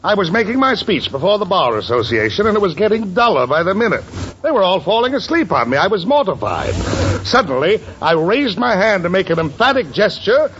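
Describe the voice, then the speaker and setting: An actor playing a pompous, blustery middle-aged boss in a 1940s radio comedy, formal and emphatic. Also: male voice, high voice, very animated, a little fast. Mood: exuberant. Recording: dull, hissy 1940s radio recording, studio audience.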